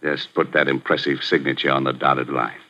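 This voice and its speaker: An actor playing a ruthless middle-aged gambling boss, talking tough street slang in a slow, menacing drawl, in 1940s radio acting. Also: male voice, a bass voice, level tone, sharp tone, heavily acted. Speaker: gambling house owner. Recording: muffled 1940s radio broadcast.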